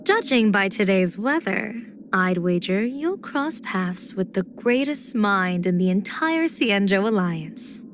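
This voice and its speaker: A woman's voice: mid-range voice, slight background noise. A woman voicing a playful, confident general and diviner in a video game, with poised, theatrical, teasing phrasing. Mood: teasing, breezy. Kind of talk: video game character voice lines.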